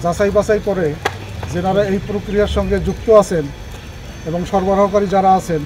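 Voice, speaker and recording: A man's voice: high voice, level tone, fairly quick, background noise. A man, an anti-corruption official, giving a formal, bureaucratic statement to the press outdoors at a hospital.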